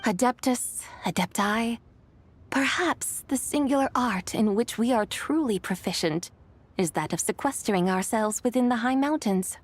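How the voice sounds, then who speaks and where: Ancient, gracious immortal, high-voiced. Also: female voice, expressive, moderate pace. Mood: gentle, grateful. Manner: poetic, eloquent, serene. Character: ancient, gracious, wistful female immortal adept. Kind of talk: video game voice acting.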